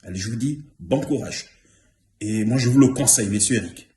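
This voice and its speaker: A man's voice: lowish voice, brisk, warm tone. Fifties guy giving a testimonial, earnest, says things twice, roomy echo, faint hiss. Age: 50s. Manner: earnest, repeats himself for emphasis. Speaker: former trainee giving a testimonial. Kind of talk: spoken testimonial.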